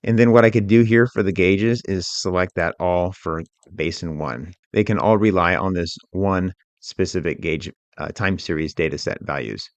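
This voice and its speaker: Low-voiced man narrating a software tutorial. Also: moderate pace, few pauses, dry recording. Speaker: instructor.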